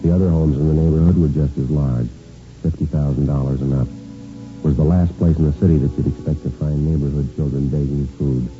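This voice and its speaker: Very deep, terse radio police detective. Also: male voice, fairly quick. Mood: deadpan narration. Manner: deadpan, matter-of-fact. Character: terse, businesslike male police detective sergeant. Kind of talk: 1950s radio police drama acting, clipped narration. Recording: muffled vintage radio recording, music underneath.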